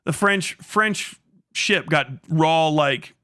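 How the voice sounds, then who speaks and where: A male streamer with a fairly high, clear voice talks casually to his viewers. He is blunt and sarcastic and swears freely. The sound is bright and forceful. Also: drawn-out words, no room sound, clean studio sound. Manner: blunt, heavily profane, sarcastic. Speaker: live streamer. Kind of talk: casual livestream rant with chat.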